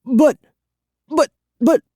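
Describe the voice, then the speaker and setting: A scared young boy acted by an audiobook reader, stammering and pleading in a high, strained voice. it is recorded close and dry. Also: animated, takes it slowly, warm tone, no room sound. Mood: frantic.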